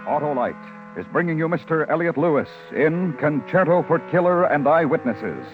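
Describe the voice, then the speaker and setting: Old time radio announcer guy, slightly high voice, music behind, muffled 50s recording. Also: expressive, normal speed.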